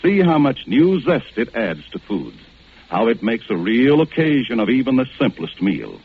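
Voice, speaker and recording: A man's voice: mid-range voice, big pitch swings, moderate pace, husky. A man reading a 1940s radio advertisement as an announcer, with polished salesmanship. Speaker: commercial announcer. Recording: tinny, narrow-band vintage radio broadcast.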